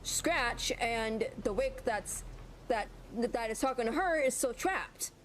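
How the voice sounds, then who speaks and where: A woman's voice: a little high, animated, slow pace. A woman giving casual live reaction commentary as host of a gaming video. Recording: noisy, game audio underneath.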